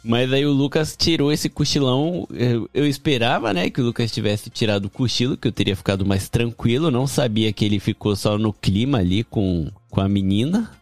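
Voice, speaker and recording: A man hosting a podcast, telling a story in a relaxed way with casual slang. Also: mid-range voice, a little fast, few pauses, no room sound, faint music behind. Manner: storytelling, laid-back slang. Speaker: podcast host. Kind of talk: casual chat. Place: lives in Japan.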